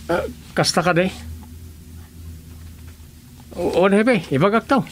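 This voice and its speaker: Earnest deferential village official, ilocano accent, radio drama, faint noise behind. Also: male voice, high-pitched, expressive, slow. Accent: Filipino, Ilocano. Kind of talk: comedy radio drama acting.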